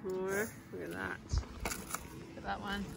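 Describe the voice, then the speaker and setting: A woman's voice: mid-range voice, level tone, takes it slowly, gravelly. Chatty woman filming a windy family day. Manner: chatty, playful running commentary. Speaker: vlogger and mother. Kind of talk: casual family vlog narration. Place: outdoors on a beach, then in a car. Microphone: handheld phone camera. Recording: noisy wind and outdoor background.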